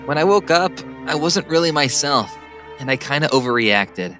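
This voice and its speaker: Man acting meek, lively-pitched teenage schoolboy. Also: moderate pace, faint music behind. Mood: nervous, apologetic. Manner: hesitant, apologetic, earnest. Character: awkward, self-deprecating, meek teenage schoolboy underdog. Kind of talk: dramatic comic dub acting.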